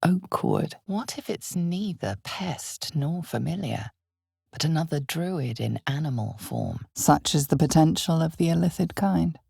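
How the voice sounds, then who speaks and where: A woman playing an ominous storyteller who narrates a dark fantasy game in a deliberate, theatrical, foreboding way, with a gravelly, husky voice. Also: deep voice, expressive, no room sound, studio quality, full character voice. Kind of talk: scripted second-person dramatic narration.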